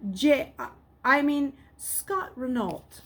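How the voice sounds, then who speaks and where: A woman's voice: sits high, expressive, slow pace, a bit bright, pitched above the performer's own voice, full character voice. A woman voicing a nervous, stammering boy in a storybook, flustered and hesitant as he bluffs. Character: nervous, stammering boy telling lies, easily panicked. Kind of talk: storybook character voice. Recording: clearly noisy background.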